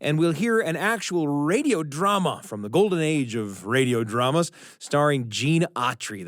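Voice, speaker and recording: A man hosting a radio show, reading scripted introductions with deliberate, emphatic phrasing and dramatic pauses. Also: on the high side, dry recording, studio quality.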